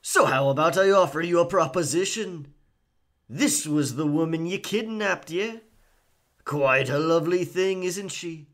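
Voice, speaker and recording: Slow-talking roguish pirate played by a man. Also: a little high, fairly bright tone. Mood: cocky, taunting. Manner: theatrical pirate talk, taunting, drawn-out. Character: roguish, cocky male pirate, sly and charming. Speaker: ASMR roleplay performer. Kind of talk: pirate ASMR roleplay acting.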